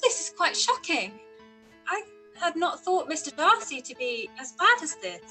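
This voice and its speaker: A woman playing a spirited, outspoken young gentlewoman in a period radio play, candid and expressive. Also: high voice, normal speed, soft background music, exaggerated voice, rough recording. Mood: indignant. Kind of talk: live-read period radio play acting.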